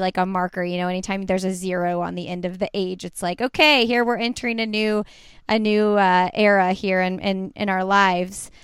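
A woman's voice: mid-range voice, clean tone, dry recording, clean studio sound. A woman of thirty-seven hosting a podcast, chatting and asking her guest questions. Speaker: podcast host. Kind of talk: casual interview chat.

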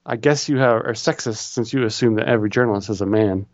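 A man's voice: lowish voice, fast, darkish tone, dry recording, dull audio. A man co-hosting a casual chat, reading advice letters aloud with easygoing, dry humor. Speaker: podcast co-host.

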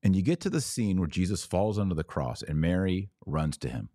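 A man's voice: sits low, lively intonation, brisk, mellow, no echo, studio quality. A man hosting a podcast, talking casually about faith and answering viewers, explaining earnestly in a conversational way with rhetorical questions. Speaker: podcast host. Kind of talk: casual religious talk answering viewer comments.

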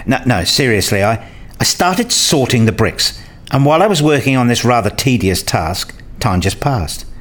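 A man's voice: medium pitch, lively intonation, moderate pace, fairly bright tone. A man acting in a radio play as a weary, wryly self-mocking academic father who narrates his own story. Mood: wry, hesitant. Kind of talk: first-person narration and acted dialogue.

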